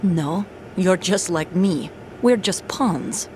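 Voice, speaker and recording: A woman's voice: fairly low pitch, animated, takes it slowly, a little mellow, slight background noise. A woman voicing a tough female soldier working undercover, in a video game cutscene with music under it, speaking tersely and commandingly. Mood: urgent. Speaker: game character.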